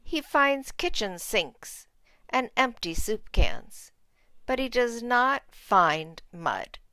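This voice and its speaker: Woman, children's read-aloud narration, animated intonation, medium pitch, dry close recording. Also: slow, dry recording. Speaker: storybook reader.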